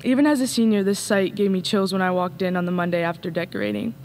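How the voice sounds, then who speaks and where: A woman's voice: lowish voice, expressive, fast talker, darkish tone, slight background noise. A teenage girl earnestly reading her graduation speech at a podium in an empty auditorium. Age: late teens. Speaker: student speaker. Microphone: podium mic.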